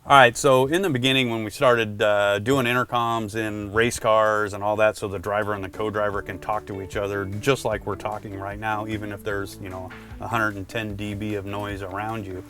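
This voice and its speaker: Low-voiced man giving casual tips. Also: level tone, normal speed, few pauses, a bit bright, faint music behind. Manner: informal, conversational, addresses viewer directly. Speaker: presenter giving product tips. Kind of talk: casual instructional explainer.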